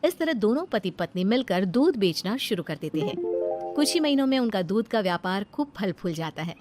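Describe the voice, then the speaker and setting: A woman's voice: medium pitch, sing-song, moderate pace, over loud music. A woman reading scripted narration for a cartoon family story as its storyteller.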